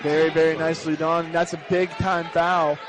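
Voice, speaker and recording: High-pitched male basketball color commentator. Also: little pitch movement, moderate pace, music in the background. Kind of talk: live sports analysis. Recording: crowd noise in a gym. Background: crowd and bouncing basketballs.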